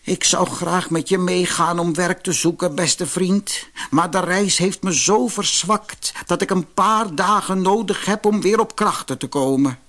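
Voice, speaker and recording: A man's voice: high voice, unhurried, few pauses, a bit bright, voice pushed up. A man voicing a sly, lazy, wheedling swindler in a storybook fairy tale. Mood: feigned weakness. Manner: theatrical, wheedling. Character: sly, lazy, flattering male swindler and cloth dyer. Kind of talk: storybook character voice.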